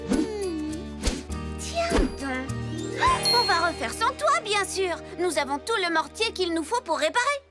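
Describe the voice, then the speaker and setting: A woman's voice: extremely high pitch, big pitch swings, measured pace, long pauses, exaggerated voice. A woman voicing a confident, perky young baker girl in a French cartoon dub. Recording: faint music behind the voice.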